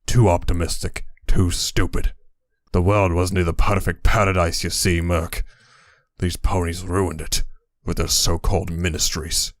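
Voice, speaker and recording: Grim raider stallion for audio drama, male actor: very low, flat delivery, bitter and blunt. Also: measured pace, dry recording, clean studio sound. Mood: bitter, scornful. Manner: scornful, blunt. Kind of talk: dramatic character acting in an audio story.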